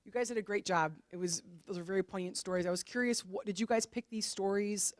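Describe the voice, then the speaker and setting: An audience member casually asking questions in an encouraging, rambling way, picked up quietly from a distance. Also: mid-range voice, quick pace.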